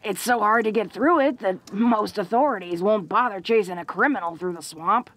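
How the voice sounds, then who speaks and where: A woman's voice: medium pitch, normal speed, a bit bright, lightly acted. A woman playing a tough, protective, secretly awkward young orc woman in an intimate audio roleplay, with rustic slangy speech and a bashful stammer. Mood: awkward, earnest.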